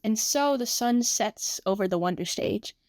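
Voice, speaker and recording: A woman narrating a fan dub story in a lively, quick manner. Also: a little high, no echo, studio quality.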